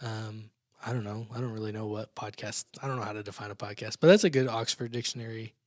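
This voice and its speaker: A man's voice: fairly low pitch, steady tone, fast, no echo, studio quality. A man hosting a small church podcast in casual chat, laughing often and restarting his sentences. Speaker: podcast host.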